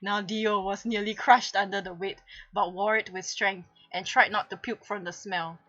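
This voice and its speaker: A woman's voice: mid-range voice, fairly even intonation, normal speed, bright, forceful tone, no echo, muffled recording. A woman reading fan fiction aloud with comic drama and casual chat, giggling and stumbling over her words. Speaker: streamer hosting a fanfic reading.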